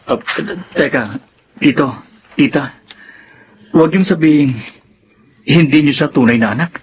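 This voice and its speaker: A man's voice: a little high, measured pace, dull audio, a little hiss or hum, natural voice. An actor playing a sincere, devoted young man in a Filipino radio drama, speaking earnestly with a Filipino accent. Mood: puzzled, concerned.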